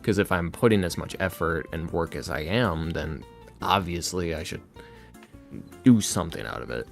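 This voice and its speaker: A man being interviewed on a casual podcast as a guest, speaking in a halting, reflective, self-deprecating way. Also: low-pitched, animated, creaky, soft background music. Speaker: interview guest, ASMR roleplay creator.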